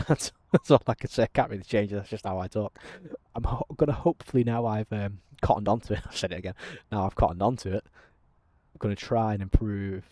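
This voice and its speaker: A man chats casually over his gameplay, his voice low-pitched and mellow. He calls his own delivery monotone, and it is dotted with ums and fillers as he narrates what he does on screen. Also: a little fast, no room sound. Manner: self-described monotone, frequent ums. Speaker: gaming channel host.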